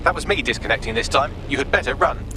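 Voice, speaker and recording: An actor playing a sarcastic British artificial intelligence assistant in a video game, flippant and wordy. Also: male voice, medium pitch, lively intonation, fast talker, background noise, lightly acted. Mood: smug.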